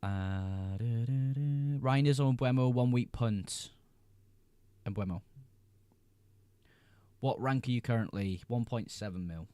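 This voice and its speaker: A man's voice: medium pitch, takes it slowly, long pauses, mellow, clear, resonant tone, dry recording. A young man hosting a casual livestream, reading viewers' chat messages aloud and answering them in a laid-back way. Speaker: livestream host.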